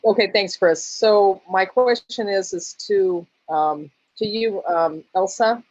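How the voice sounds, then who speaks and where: A woman asking questions at an online town hall, polite and hesitant, with many pauses and ums. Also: medium pitch, a little mellow, lo-fi sound.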